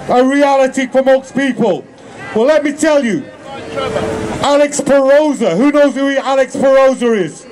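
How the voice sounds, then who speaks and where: A man's voice: extremely high pitch, a little mellow, low-quality recording. A man delivering a rousing protest speech at an outdoor rally, leading call-and-response chants. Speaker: rally speaker. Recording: crowd noise, open air.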